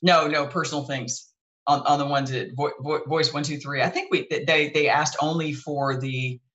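A woman with a deep, dark voice and a bright, forward edge hosts a casual interview from her living room couch. She talks in quick bursts, restarting and correcting herself as ideas pile up. The webcam picks her up from across the couch. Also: fairly even intonation, highs cut off. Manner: chatty, many restarts and self-corrections. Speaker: show host.

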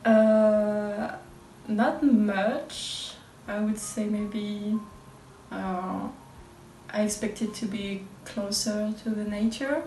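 French woman in her 20s, slow soft voice, sounds far in an echoey room. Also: mid-range voice, steady tone, a little hiss or hum. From France. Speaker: exchange student. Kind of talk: casual interview answers. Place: indoors, room.

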